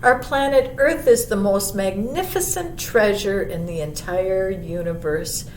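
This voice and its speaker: A woman voicing a gentle, wise old male monarch butterfly in a storybook, telling a child of travels with unhurried wonder. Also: natural voice. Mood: wise, tender. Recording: roomy, distant mic, faint rumbling noise.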